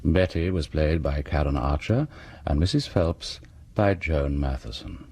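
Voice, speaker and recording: Radio horror host guy, super deep raspy mellow voice, scripted narration, kinda wry n sinister. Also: expressive, unhurried, no room sound. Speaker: drama series host and announcer.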